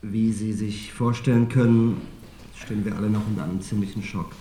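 Mellow, echoey, sombre club official male actor. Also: lowish voice, steady tone, fairly quick, natural voice. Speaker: club official opening a press conference. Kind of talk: formal press statement in TV drama. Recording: echoey conference room, faint noise.

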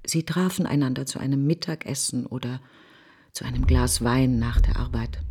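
An actress playing a bitter, grieving woman in a literary radio play monologue, speaking in clipped, intense fragments with a breathy husky voice. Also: extremely low pitch, mellow, a little hiss or hum. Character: bitter, grieving woman reflecting on her dead best friend.

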